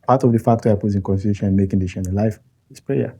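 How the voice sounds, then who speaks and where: A Nigerian man with a low, mellow voice, his first language Yoruba. He talks as an interview guest, thoughtful and halting. He restarts his phrases and chuckles often as he explains. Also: steady tone, husky. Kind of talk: interview conversation.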